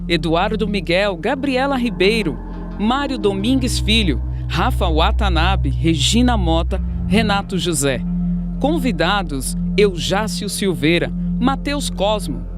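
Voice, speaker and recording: A Brazilian man announces radio credits from a script. His voice is high and animated, with loud music behind it. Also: unhurried.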